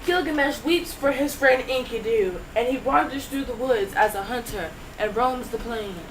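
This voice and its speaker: Teen girl narrator, playful, high-pitched. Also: moderate pace, slight background noise, low-quality recording. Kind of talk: school project narration.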